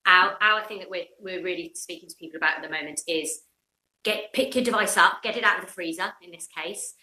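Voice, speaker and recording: Woman, live beauty-chat host, crisp bright tone, informal interviewing, echoey room on a video call. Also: fairly low pitch, fairly quick.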